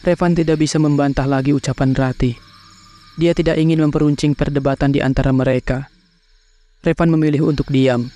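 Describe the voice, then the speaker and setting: A man's voice: on the high side, steady tone, fairly quick, mellow. A man with an Indonesian accent narrating a scripted horror cartoon story. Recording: clear insect and bird noise behind. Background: crickets, insects, birds.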